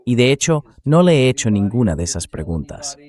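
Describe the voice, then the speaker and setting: A man hosting a political commentary show, explaining his points in a measured, emphatic way. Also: mid-range voice, big pitch swings, darkish tone. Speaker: show host. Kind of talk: political commentary to camera, translated read-aloud.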